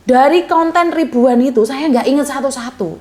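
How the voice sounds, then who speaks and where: A fairly high-voiced woman talks on a call-in advice show. She is blunt and outspoken, with no softening of her words, and she mixes into her Indonesian as she talks to a caller. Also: moderate pace. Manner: blunt, outspoken, self-described coarse way of speaking. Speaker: host of a call-in advice channel. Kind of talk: live call-in chat. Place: Hong Kong.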